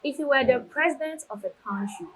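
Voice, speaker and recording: A woman's voice: high-pitched, brisk, slight background noise, low-quality recording. Woman host pressing with questions. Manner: probing, pressing with follow-up questions. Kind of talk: questioning students on a TV show.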